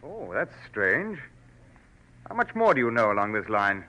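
Posh English villain: purring, bright-edged, pompous, humorless menace, vintage radio drama sound. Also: male voice, mid-range voice, sing-song, a little slow, rough recording. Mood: scornful, wary. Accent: upper-class English. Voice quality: purring, flaccid. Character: haughty, wary male criminal with a gun. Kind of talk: 1940s radio drama acting. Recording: old 1940s radio recording.

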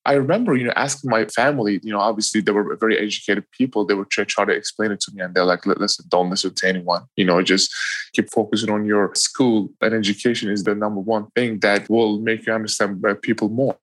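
A young man with a Turkish accent talking casually and earnestly, leaning on "you know" between thoughts. His voice sits on the low side, and the recording is dry and close. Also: fairly even intonation, fast talker, a bit bright, no echo. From Turkey. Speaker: guest, professional athlete.

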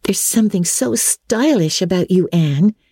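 A woman's voice: medium pitch, animated, unhurried, warm tone, slightly rough, no room sound, voice pushed up. A woman voicing a vivacious, bossy and affectionate teenage girl who fusses over her best friend in a storybook reading. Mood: admiring, rueful. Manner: gushing, decisive, affectionate.

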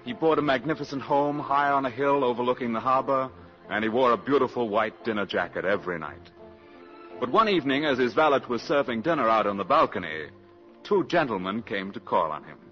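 A man's voice: medium pitch, expressive, a little fast, lightly acted. Man acting as sober spy story narrator, old 50s radio show, dull sound, music under him. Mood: wry storytelling. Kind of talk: 1950s radio drama narration.

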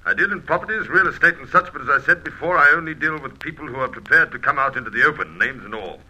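A man's voice: fairly high pitch, lively intonation, fast talker, husky, heavily acted, poor audio. An actor playing a brusque, suspicious male estate agent in an old radio drama, curt and guarded in his delivery. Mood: indignant, suspicious. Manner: curt, businesslike, forceful.

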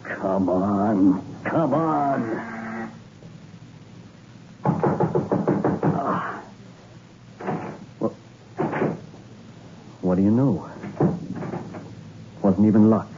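An actor playing a dogged, jittery investigator in a 1960s radio thriller, with terse, probing, halting speech. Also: male voice, on the low side. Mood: shaken, urgent. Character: dogged, jittery hard-boiled male investigator named Logan. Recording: dull, hissy old radio recording.

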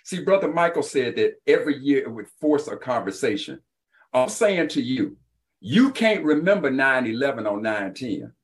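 A man's voice: medium pitch, very animated, moderate pace. A man debating on a panel in an emphatic, insistent, lecturing way, driving home his points. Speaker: panel guest, author and activist.

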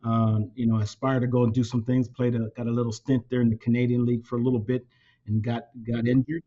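A man's voice: medium pitch, little pitch movement, fast. A man in his fifties, a native Spanish speaker raised in California, talking as a company president in a casual interview, with many fillers and restarts. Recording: dull lo-fi sound.

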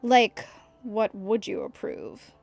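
An actress playing a spoiled young former child star in an audio drama, with an entitled, petulant manner. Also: female voice, a little high, steady tone, slow, faint music behind. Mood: demanding. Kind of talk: scripted audio drama acting.

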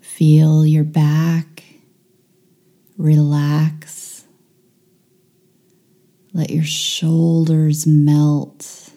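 A woman leading a guided meditation, giving calm, hushed, soothing instructions with long pauses between phrases. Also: deep voice.

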